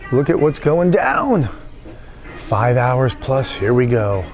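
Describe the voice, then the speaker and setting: A man's voice: mid-range voice, creaky. A man in his fifties filming himself eating at a restaurant, chatting and joking about each dish. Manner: enthusiastic, jokey commentary while eating. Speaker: food vlogger. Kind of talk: casual dining vlog. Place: fine-dining restaurant indoors. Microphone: phone at arm's length.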